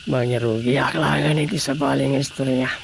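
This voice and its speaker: A man's voice: mid-range voice, steady tone, moderate pace, creaky, background noise, lightly acted. A man playing an easygoing, daydreaming husband in a Filipino radio drama comedy, muttering with a Filipino accent. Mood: drowsy musing.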